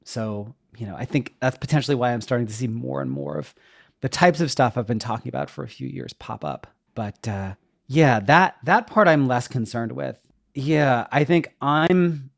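A man's voice: mid-range voice, vocal fry, no room sound, studio quality. A middle-aged man talking reflectively in a conversational interview, in a hesitant, thoughtful, self-questioning manner. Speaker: podcast guest, web developer and educator.

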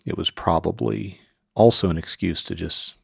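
Deep, husky-voiced male podcast host. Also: moderate pace, dry recording, clean studio sound. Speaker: podcast host interviewing a guest. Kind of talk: casual podcast chat.

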